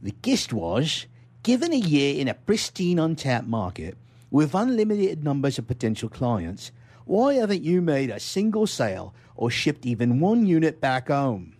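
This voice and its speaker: A man's voice: on the high side, wildly swooping pitch, moderate pace, darkish tone, voice pushed up, full character voice. A man voicing an irritable, exasperated chief executive in a satirical short story read aloud for radio. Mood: sour. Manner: tense, irritable. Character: Lucifer, dangerously calm, exasperated male corporate chief executive.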